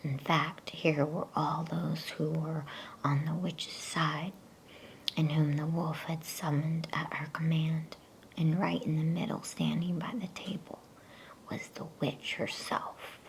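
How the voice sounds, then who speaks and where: A woman reading a storybook aloud in a hushed, soothing ASMR whisper, taking her time as a bedtime reader. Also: deep voice, flat delivery, slow pace, gravelly, slight background noise, low-quality recording.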